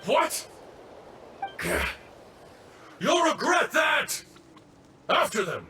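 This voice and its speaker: A man voicing a gruff, menacing enemy soldier in a video game, theatrical, recorded roomy and distant. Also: very high-pitched, big pitch swings, slow pace, sharp tone, shouting, exaggerated voice. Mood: alarmed, angry. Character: gruff enemy henchman soldier serving his lord. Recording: roomy, distant, faint background noise.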